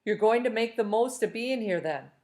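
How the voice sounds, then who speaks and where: A woman reading a twitchy, mischievous male trickster in a storybook, with a smooth, coaxing tone. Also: a little high, lively intonation, moderate pace, darkish tone.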